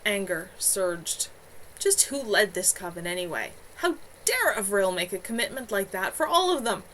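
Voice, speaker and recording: A woman's voice: medium pitch, sing-song, normal speed, a bit bright. A woman narrating a fantasy story aloud in an unpolished amateur manner on a home livestream. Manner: amateur, unpolished reading with stumbles. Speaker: author reading own novel. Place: at home, live stream. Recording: clearly noisy home livestream.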